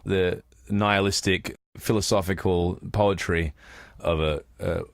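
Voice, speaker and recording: Deep-voiced Australian man, podcast co-host. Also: steady tone, unhurried, creaky, no room sound, clean studio sound. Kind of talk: casual podcast chat.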